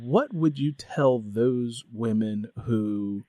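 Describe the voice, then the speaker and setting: A man's voice: mid-range voice, slow pace, warm tone, dry recording. An American man hosting a conversational interview, putting questions in a wordy, circling way with repeated phrases. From United States.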